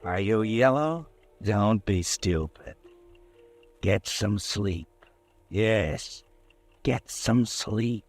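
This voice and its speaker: A man's voice: on the low side, lively intonation, very slow, pauses often, soft background music, heavily acted. A man playing a mocking, ominous narrator who goads the listener in the second person, in a 1940s radio drama. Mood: taunting. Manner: taunting, goading, second-person.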